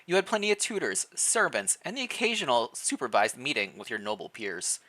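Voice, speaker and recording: Livestream reader, mid-pitched voice, playful, animated intonation, expressive storytelling, casual asides. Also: a little fast, poor audio. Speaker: streamer reading fan fiction aloud. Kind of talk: livestream read-aloud with casual chat.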